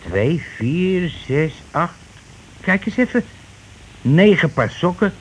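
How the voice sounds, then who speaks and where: An actor playing a blunt, self-righteous working-class husband in a radio play, grumbling, bossy and rather pompous. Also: male voice, a little high, very animated, slow. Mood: scornful. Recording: noisy old radio play recording.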